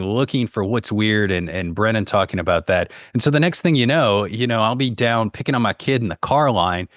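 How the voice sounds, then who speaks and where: A man's voice: medium pitch, a bit bright, dry recording, clean studio sound. A man speaking as a podcast guest in a casual, conversational way. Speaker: podcast guest, horror fiction writer. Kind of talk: casual chat.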